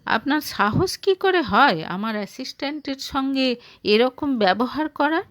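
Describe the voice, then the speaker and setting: Woman playing stern, deliberate male boss. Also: high voice, animated, a bit bright, dry recording. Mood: stern anger. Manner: grave, cold. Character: stern, brooding male boss, curt and protective.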